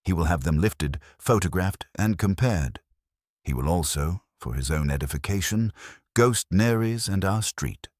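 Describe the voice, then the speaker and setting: A man's voice: sits low, lively intonation, measured pace, no room sound, studio quality. A man voicing an imperious, eccentric master detective in an audiobook, with formal, pompous, precise speech. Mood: imperious, exacting. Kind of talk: acted dialogue in a detective audiobook.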